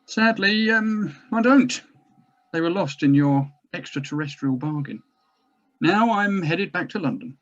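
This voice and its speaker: Casting: man as cavalier, self-important government geneticist, slightly high voice, hedging and formal, audio drama read, dull sound. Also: expressive, a little slow, a bit bright. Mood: disdainful. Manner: pompous, cavalier, hesitant formal phrasing. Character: pompous, evasive government geneticist doctor, a man. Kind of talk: scripted drama table read.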